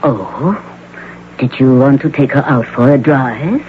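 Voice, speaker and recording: An actor playing a courteous, formal male doctor with measured, precise diction in a 1980s radio drama. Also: mid-range voice, expressive. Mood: pleased, courteous. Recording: tinny, noisy old radio broadcast.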